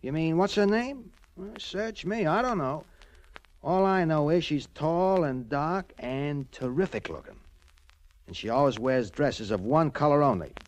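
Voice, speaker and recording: An American actor playing a chummy, streetwise small-time informer in a 1940s radio crime drama, with slangy underworld talk. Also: male voice, a little high, measured pace, close to an everyday voice. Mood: excited. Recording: old 1940s radio transcription.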